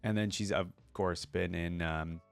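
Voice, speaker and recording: A man's voice: sits low, fairly even intonation, a little slow, creaky, smooth, no echo, faint music behind. A man giving informal, casual commentary as the host of a reaction video, chatting directly to his viewers. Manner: informal, addresses viewers as guys.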